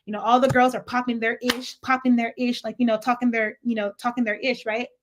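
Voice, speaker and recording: Young woman, high voice, faint Nigerian accent, chatty, digressive, salty storytime vlog. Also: fast talker, background noise. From San Francisco Bay Area, US. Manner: chatty, animated, digressive, profane. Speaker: vlogger.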